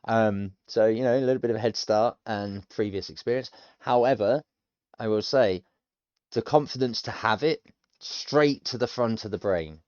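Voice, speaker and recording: A man's voice: fairly low pitch, darkish tone, clean tone, no room sound, dull audio. A British man in his thirties, talking casually and rambling as he works through a language course, self-deprecating and chatty. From United Kingdom. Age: 30s. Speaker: language learner streamer. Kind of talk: casual thinking-aloud commentary.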